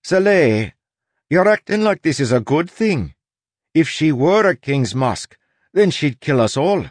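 A man voicing a blunt, skeptical outlaw woman in an audiobook, animated in manner. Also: fairly high pitch, a little slow, no echo, pitched above the performer's own voice. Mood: alarmed.

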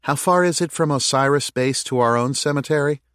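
Male narrator as earnest thoughtful woman, raised pitch, tentative questioning delivery, audiobook dialogue, dry sound. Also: fairly quick, a bit bright, no echo, natural voice. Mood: probing. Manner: tentative, questioning, thinking aloud. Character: earnest, thoughtful woman reasoning through a mystery.

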